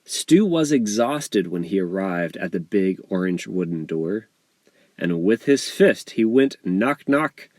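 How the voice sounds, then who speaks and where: Male author-narrator for rhyming kids' picture book. Lowish voice, lively pitch swings, unhurried, expressive. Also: dry recording. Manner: expressive, measured storytelling delivery. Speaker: author reading his children's book aloud. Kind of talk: scripted storybook narration in rhyme.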